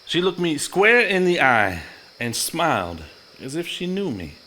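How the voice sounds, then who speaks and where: An actor playing a reassuring, earnest 1950s husband in a live stage play, speaking in a soothing, halting manner. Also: male voice, a little high, sing-song, pushed and bright, full character voice. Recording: live stage recording with faint noise.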